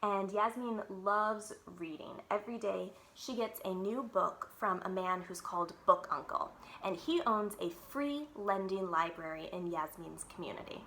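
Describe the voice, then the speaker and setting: Bright-voiced woman reading to children. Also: medium pitch. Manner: animated, clearly enunciated storytelling. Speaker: librarian reading aloud to children. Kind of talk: expressive children's read-aloud.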